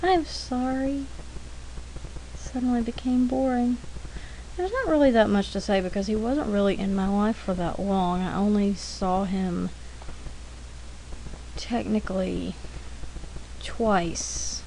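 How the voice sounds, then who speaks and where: A woman's voice: medium pitch, takes it slowly, pauses often, poor audio. A woman giving casual, rambling commentary over her art demo, chatty and self-deprecating, thinking aloud as she goes. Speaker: artist narrating own drawing demo. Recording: steady background noise.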